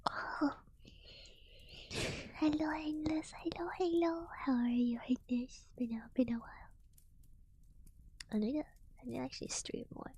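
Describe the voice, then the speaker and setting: A tired woman streamer, yawning as she chats softly with her viewers in a whispered ASMR stream. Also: high voice, little pitch movement, a little slow, pauses often, no room sound, highs cut off. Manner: soft whispering, chatty with viewers.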